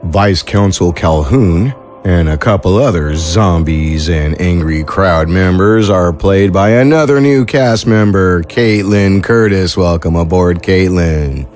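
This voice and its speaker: Male announcer, low register, playful folksy showman for serial credits, light music underneath. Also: slow pace, hardly any pauses, a little mellow.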